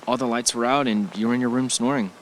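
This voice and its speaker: A man's voice: mid-range voice, fairly even intonation, quick pace, slight background noise, natural voice, poor audio. A man playing a wary, reluctant partner in an audio drama, in an everyday voice. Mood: insistent. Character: wary, reluctant male partner of an adventurous woman.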